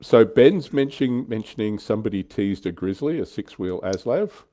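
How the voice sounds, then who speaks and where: Low, mellow-voiced man chatting casually. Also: lively intonation, normal speed. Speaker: livestream co-host on tabletop wargaming show. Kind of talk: casual panel chat.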